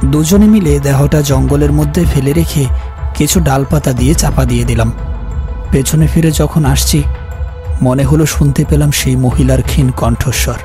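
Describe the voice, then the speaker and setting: A male actor voices a guilt-haunted office worker in a horror cartoon. His voice sits a little high and sounds earnest and uneasy as he narrates and speaks his part. Soft music runs underneath. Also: normal speed, close to an everyday voice. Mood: haunted, recounting. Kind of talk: dramatic horror story narration and dialogue. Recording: music behind the voice.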